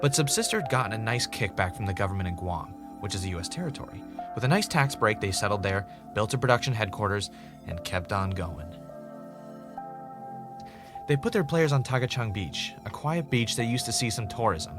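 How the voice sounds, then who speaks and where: A man's voice: on the low side, animated, a little fast, music in the background, natural voice. A man playing an earnest, dogged podcaster investigating a mystery in a naturalistic audio drama, with a wry, self-dramatizing streak. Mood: measured, serious. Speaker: podcast host in a scripted drama.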